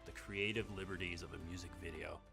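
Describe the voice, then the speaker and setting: A man's voice: low-pitched, steady tone, quick pace, gravelly, over loud music. A man being interviewed as a game developer, talking informally with quoted asides.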